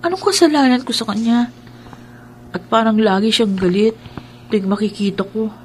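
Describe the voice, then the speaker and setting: A woman playing a high-voiced haunted young woman. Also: normal speed, a little hiss or hum, natural voice. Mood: worried. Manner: worried and pleading.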